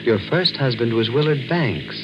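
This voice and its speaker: Man playing a eerie skull-faced stranger, terse and ominously calm, swooping intonation, vintage radio drama, heavy noise. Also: medium pitch, moderate pace, lightly acted. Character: gaunt, skull-faced sinister male hitchhiker who knows secrets. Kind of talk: 1940s radio acting. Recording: muffled, very noisy old radio recording.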